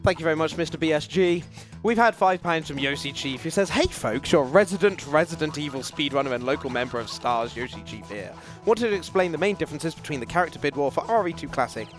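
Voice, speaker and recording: A male host with a fairly high voice presents a gaming marathon. He reads out donation messages in a presenter's style, with soft game music in the background. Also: animated, fast talker, hardly any pauses. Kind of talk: event hosting, reading out messages.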